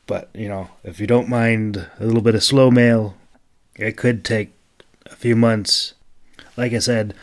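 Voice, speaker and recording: A man who narrates his own audiobooks, speaking informally as an independent storyteller at a microphone. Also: lowish voice. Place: mostly quiet room at home. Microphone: solo home microphone.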